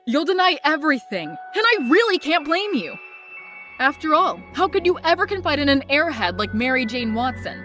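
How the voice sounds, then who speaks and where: A woman's voice: high voice, sing-song, normal speed, sharp tone, faint music behind, full character voice. A woman playing a young, worried friend of a superhero in a comic dub, with an emotional, dramatic delivery. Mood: hurt, resigned. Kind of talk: melodramatic comic dub acting.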